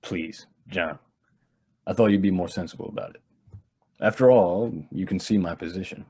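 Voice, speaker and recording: A low, husky, privileged young heir. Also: male voice, moderate pace, a little mellow. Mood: evasive, calm. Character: privileged young heir, evasive, coolly matter-of-fact. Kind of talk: old-time radio drama acting.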